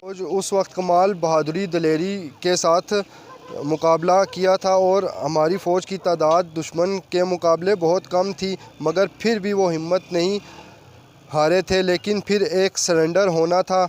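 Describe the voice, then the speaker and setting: A man delivering a political news commentary monologue, sometimes halting and stumbling over his words. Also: high voice, fairly even intonation, a little mellow, a little hiss or hum. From Pakistan.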